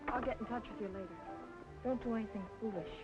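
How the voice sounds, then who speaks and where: A woman's voice: on the high side, quick pace, natural voice. A moody young woman who sings in clubs, played by an actress in film noir radio style. The sound is dull and vintage, with prominent music behind her. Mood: guarded, cool.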